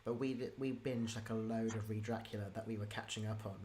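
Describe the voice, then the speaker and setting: A woman's voice: very deep voice, fairly even intonation, fast, dark tone. A woman interviews in a loose, chatty way. She rambles and cuts into her own sentences, and faint room ambience and noise sit behind her voice. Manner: informal, rambling, self-interrupting. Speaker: interviewer, podcast creator. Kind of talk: casual interview chat. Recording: clear ambient background noise.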